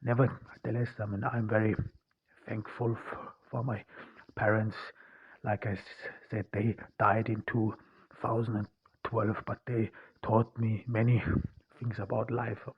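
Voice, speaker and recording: A man from Austria talking alone on his podcast in a halting way, full of fillers and restarts. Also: on the low side, flat delivery, slightly rough. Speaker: podcast host. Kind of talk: unscripted solo podcast monologue. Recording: dull lo-fi sound.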